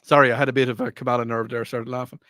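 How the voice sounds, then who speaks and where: A man's voice: mid-range voice, flat delivery, very fast, bright, forceful tone, dry recording, clean studio sound. An Irish man hosting a talk radio show, rambling and repeating himself with a mocking tone and frequent laughs. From Ireland. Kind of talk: casual talk radio monologue.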